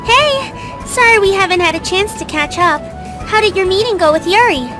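A woman's voice: very high voice, expressive, normal speed. A woman playing a friendly, earnest schoolgirl in an anime visual novel game, speaking politely. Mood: cheerful. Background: game music.

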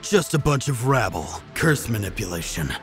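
A man's voice: on the low side, big pitch swings, normal speed, over loud music. A man voicing a cocky, cold-blooded assassin in a manga dub, with a nonchalant, taunting delivery. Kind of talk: amateur anime manga dub acting.

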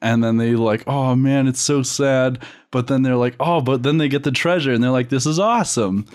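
A man in a casual group brainstorm, telling ideas in a halting, giggly way as a comedy podcaster. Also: mid-range voice, a little mellow.

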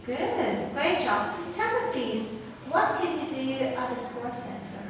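Slow, lively woman teacher in echoey room. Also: high voice. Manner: slow, clear prompting with short praise. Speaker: English teacher giving an oral test. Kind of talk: speaking test questions to a child. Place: small classroom, indoors. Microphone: far camera. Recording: echoey room, far from the mic, background noise.

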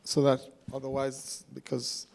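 A man moderating informally at a live event, halting and apologetic in his phrasing. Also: medium pitch, completely flat delivery, vocal fry, darkish tone. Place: theatre stage.

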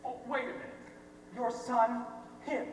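A man's voice: very high voice, fairly even intonation, unhurried, a little hiss or hum. A young American man playing a cocky, sarcastic newspaper reporter on stage, projecting punchy, sardonic lines. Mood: mocking, sardonic. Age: young adult. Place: theater stage, distant camera. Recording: distant echoey hall, music behind.

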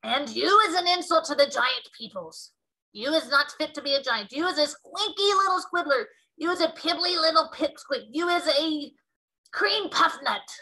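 A woman's voice: high-pitched, expressive, normal speed, bright, forceful tone, pitched above the performer's own voice, full character voice, low-quality recording. A woman voicing a kindly, earnest male giant with emphatic, dignified speech in a storybook read-aloud. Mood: indignant.

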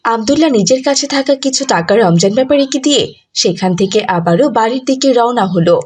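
A woman's voice: on the high side, lively intonation, a little fast, a little mellow, slightly rough. A woman giving scripted narration for a children's moral story.

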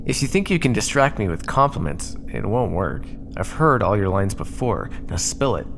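A sarcastic, prickly starship crewman, voiced by a man in an ASMR roleplay. His pitch swings widely as he snaps and grumbles with exasperation. A faint ambient hum sits behind him. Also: big pitch swings, creaky. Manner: sarcastic, exasperated, quick-tempered.

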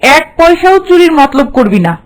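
A woman's voice: high voice, expressive, moderate pace. A woman voicing a haughty, bossy, hard-hearted mistress of the house in a Bengali cartoon, with a nagging, contemptuous manner. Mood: scolding, bossy.

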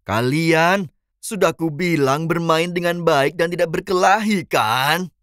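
Casting: father shark, patient and admonishing, slow delivery, dry sound, kids' cartoon. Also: fairly high pitch, a bit bright, no room sound. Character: fatherly adult male shark, gently scolding. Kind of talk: children's cartoon dubbing.

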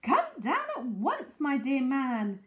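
A woman voicing an excitable, adoring lady from a storybook, exclaiming theatrically and gushing. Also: medium pitch, unhurried, gravelly, reverberant. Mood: gushing delight.

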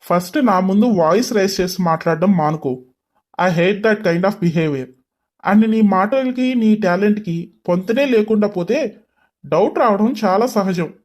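A woman's voice: medium pitch, expressive, a little slow, a little mellow, pitched below the performer's own voice. A woman voicing an arrogant young male boss in an audio story, mocking and curt. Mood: stern. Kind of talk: acted dialogue in an audio story.

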